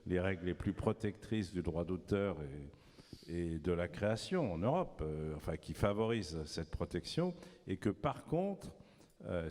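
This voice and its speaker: A man moderating a debate in an informal, halting way. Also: deep voice, darkish tone. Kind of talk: casual spoken moderating. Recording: long hall reverb.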